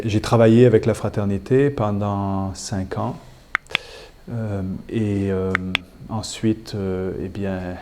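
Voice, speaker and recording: A man answers interview questions as a bishop. His voice is low, flat and mellow, and he pauses often and starts phrases over. A faint hum of ambient noise sits behind him. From Canada. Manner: hesitant, many fillers and restarts. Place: chapel.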